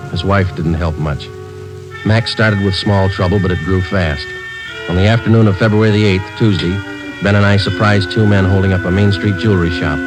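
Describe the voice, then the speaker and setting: Actor playing a clipped police detective, deep low voice, old radio drama, music underneath. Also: male voice, lightly acted, poor audio. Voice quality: clipped, flat delivery. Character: terse male police sergeant, steady and matter-of-fact. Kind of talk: 1950s radio drama acting.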